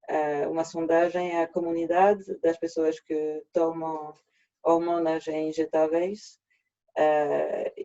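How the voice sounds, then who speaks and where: French guy presenting on a video call, stops and restarts a lot, flat mellow voice, dull lo-fi audio. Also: on the high side. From France. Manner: halting, frequent restarts. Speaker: workshop presenter. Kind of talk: online presentation.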